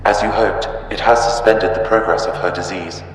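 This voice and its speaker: An actor playing a calm, dutiful artificial intelligence in a video game, precise, formal and composed. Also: male voice, on the low side, moderate pace, slight background noise, lightly acted, rough recording. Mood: calm, informative. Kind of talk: video game dramatic acting.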